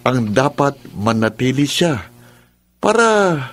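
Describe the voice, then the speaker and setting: A man's voice: mid-range voice, very animated, slow pace, faint music behind. A man playing a smug, callous young scheming son in a radio drama, cocky and breezy. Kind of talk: Filipino radio drama acting.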